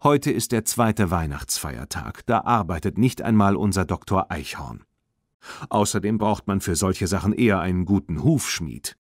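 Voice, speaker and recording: A man voicing a sensible, caring mother in a children's audiobook, measured and practical. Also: low-pitched, expressive, dry recording, clean studio sound. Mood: explaining.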